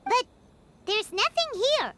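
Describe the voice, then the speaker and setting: Squeaky, excitable little girl sidekick. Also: slow, background noise, full character voice. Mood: doubtful. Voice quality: squeaky, childlike. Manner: exclamatory, expressive, informal. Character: small floating girl companion, childlike, excitable, chatty. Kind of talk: English game dub acting.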